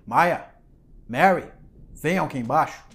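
An actor playing a panicked, paranoid husband and father in an audio drama, breathy and stammering as he moves between whispers and outbursts. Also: on the high side, lively intonation, a little slow, heavily acted. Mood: agitated, commanding. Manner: frantic, breathy, stammering, lurching from whispers to shouts.

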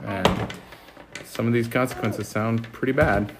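A man in casual, reflective discussion, speaking thoughtfully and hesitantly with frequent pauses, recorded at home. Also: mid-range voice, fairly even intonation, heavy background noise. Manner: hesitant, thoughtful, pausing often. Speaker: family podcast co-host giving commentary.

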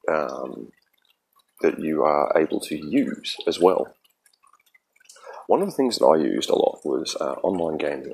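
Australian man, very deep voice, hesitant podcast monologue, faint hiss behind. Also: vocal fry, rough recording. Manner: halting, many ums and restarts; earnest and reflective. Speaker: podcast host.

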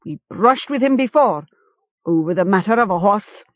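A woman's voice: medium pitch, animated, normal speed, no echo, lo-fi sound, close to an everyday voice. A woman voicing a mocking male chieftain in a storybook, theatrical and accusatory. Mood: scornful. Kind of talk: storybook character acting.

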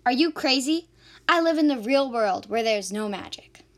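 Radio drama heroine, female performer: young sceptic, sarcastic and indignant, very animated high delivery. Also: moderate pace. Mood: alarmed, indignant. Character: sassy, sceptical young woman trapped in magic town. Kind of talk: amateur radio drama acting.

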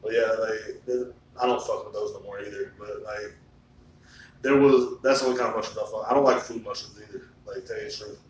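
Thirties male host, loose profane chatter, off-mic in a boomy room. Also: fairly even intonation, a little fast. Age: 30s. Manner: loose, profane storytelling with frequent "like". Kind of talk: casual chat. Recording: echoey room, far from the mic, faint noise.

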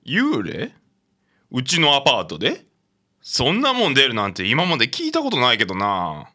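Guy doing an old kansai landlord grandpa, chatty, bright forceful voice, radio drama. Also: mid-range voice, huge pitch swings, moderate pace, full character voice. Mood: puzzled. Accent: Kansai Japanese.

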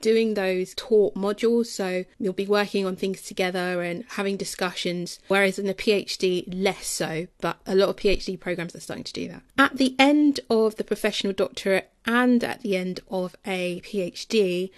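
A woman hosting a podcast, explaining a topic clearly and then interviewing a guest. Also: mid-range voice, steady tone, moderate pace, few pauses, no room sound, studio quality. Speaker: podcast host.

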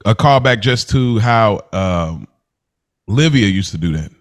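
A man co-hosting a TV review podcast in relaxed, laid-back casual chat. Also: fairly low pitch, unhurried. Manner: laid-back, laughs often. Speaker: podcast co-host.

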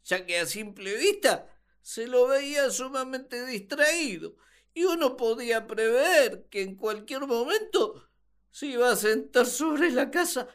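Woman playing high-pitched, whiny, exasperated first man. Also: animated, unhurried. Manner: exclamatory, plaintive, comic. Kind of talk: radio comedy drama.

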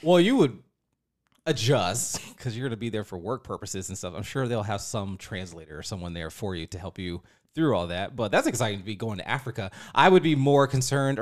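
A man's voice: lowish voice, expressive, moderate pace. Playful thirty-year-old man, podcast host. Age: 30s. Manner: playful, informal, exclamatory. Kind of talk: casual chat.

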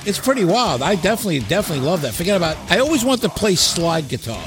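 A man's voice: high-pitched, very animated, quick pace. A man hosting a podcast, chatting casually about rock music in an enthusiastic, slangy way with plenty of swearing. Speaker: podcast host.

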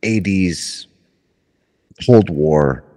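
A man's voice: low voice, expressive, very slow, warm tone, big-room sound. A man who is a musician, a guest on a podcast, talking reflectively and often repeating his words as he starts. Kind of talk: casual conversation.

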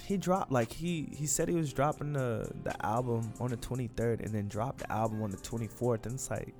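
Laid-back young man giving shout-outs. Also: medium pitch, fairly quick, faint music behind. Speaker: podcast co-host. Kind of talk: casual chat about music.

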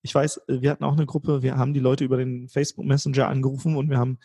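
A man hosting a podcast, asking questions in a relaxed, conversational way with fillers. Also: medium pitch, racing, breathy edge. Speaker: podcast host. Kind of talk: casual podcast interview.

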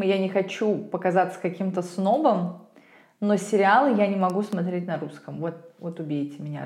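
A woman from Russia, an English teacher co-hosting a podcast, telling stories in an animated, emphatic way. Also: fairly low pitch. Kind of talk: casual podcast chat. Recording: roomy echo.

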